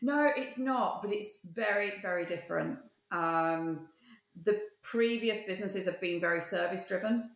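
A woman CEO answering interview questions over a video call, measured and articulate with frequent pauses. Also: medium pitch, gravelly, reverberant. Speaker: company CEO, guest.

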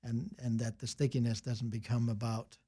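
A middle-aged or older man from Denmark with a Danish accent, talking casually and reflectively as an interview guest in halting phrases. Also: mid-range voice, flat delivery, mellow, slightly rough. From small rural town in Denmark. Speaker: interview guest, business advisor.

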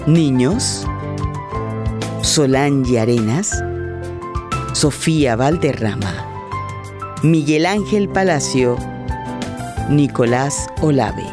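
A woman's voice: extremely low pitch, animated, drawn-out words, pauses often. A woman reading out scripted credits as an announcer. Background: music.

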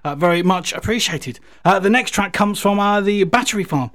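Male radio presenter, slightly high voice, chatty and effusively enthusiastic, informal music-show links. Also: fairly quick, a bit bright. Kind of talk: casual radio link between songs.

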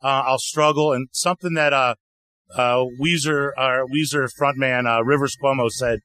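Bright, chatty male singer-songwriter. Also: normal speed, dry recording. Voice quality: deeper voice. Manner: relaxed, chatty, frequent "you know" fillers. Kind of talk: casual interview answers. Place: outdoor music festival.

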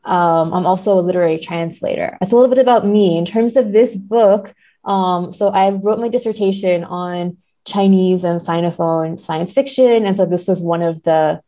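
Lady professor on a podcast, soft mellow tone, lowish, explains stuff clearly. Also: normal speed. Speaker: academic guest, professor and literary translator. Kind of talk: podcast interview, explaining research.

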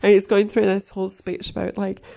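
Woman, sniffly, gravelly, flat delivery, halting with fillers. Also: medium pitch. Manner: halting, many fillers, keeps clearing throat. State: sniffly from crying. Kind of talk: casual rambling chat.

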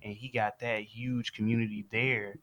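A man's voice: fairly low pitch, little pitch movement, normal speed, fairly bright tone. A man explaining his view in casual, slangy conversation.

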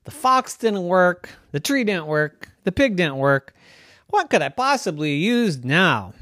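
A bedtime storyteller voicing Wanda, a clumsy, cheerful would-be girl knight who squeals in high dismay. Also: very animated, unhurried, fairly bright tone, dry recording, studio quality. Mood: excitable.

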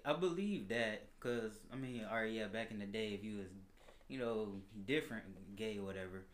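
A man as a podcast guest chatting casually, relaxed and hesitant, with many fillers and laughs. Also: fairly low pitch, moderate pace.

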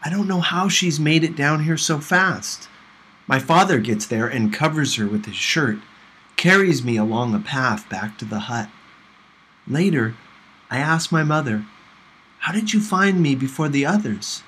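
A man reading a novel aloud in an expressive storytelling style. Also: fairly high pitch, measured pace, husky. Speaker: audiobook reader.

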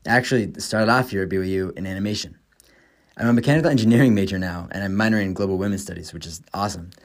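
A young man in his twenties hosts a podcast, reading a scripted monologue in an upbeat, enthusiastic way. His voice sits a little low for a man. He is from the United States. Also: racing. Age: 20s. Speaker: podcast host.

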